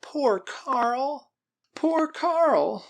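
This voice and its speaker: A man's voice: sing-song, brisk, heavily acted. A man voicing an anguished young woman held captive in an adventure storybook, melodramatic, pleading and full of loathing. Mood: grieving anger. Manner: pleading, melodramatic, high shifted pitch.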